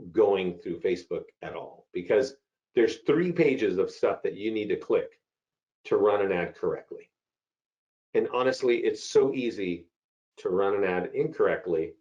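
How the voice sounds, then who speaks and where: Hoarse man hosting a webinar. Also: low voice, a little mellow. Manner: chatty, repeats himself, answers chat questions. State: hoarse from a cold. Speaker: webinar host. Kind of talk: live software webinar walkthrough. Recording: dull lo-fi call audio.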